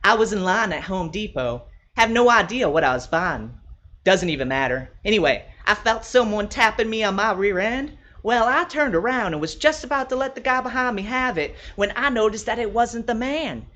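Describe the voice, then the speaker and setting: A man's voice: high-pitched, lively intonation, normal speed, sharp tone, pitched above the performer's own voice. A man voicing a chatty, gushing woman telling her favorite anecdote in a storybook reading. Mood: animated, chatty. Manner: gushing, conversational. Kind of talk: animated storybook character voice.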